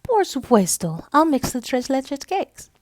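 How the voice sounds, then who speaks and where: A woman's voice: sits high, sing-song, unhurried, darkish tone, no room sound, studio quality, pitched above the performer's own voice. A woman voicing a kindly, affectionate elderly Central American grandmother in a children's storybook. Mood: fond, cheerful.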